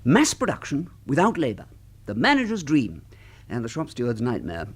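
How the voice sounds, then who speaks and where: An actor playing a jovial, flippant British husband in a radio drama, with breezy, jokey, old-fashioned turns of phrase. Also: male voice, medium pitch, huge pitch swings, normal speed, husky. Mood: breezily explaining. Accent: British English. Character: jovial, flippant middle-class British husband, joking electronics man.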